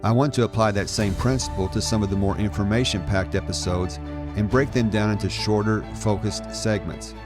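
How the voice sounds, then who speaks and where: A middle-aged man narrating a scripted podcast as host, in a measured, encouraging manner. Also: on the low side, steady tone, music in the background. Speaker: podcast host, adoption life coach.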